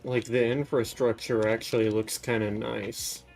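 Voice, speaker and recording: A man streams a video game and talks to his viewers in a casual, musing way. He takes his time, and his voice often drops into a creaky fry. Soft music plays faintly behind him. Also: mid-range voice, little pitch movement, a little slow. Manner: musing aloud, chatting to viewers.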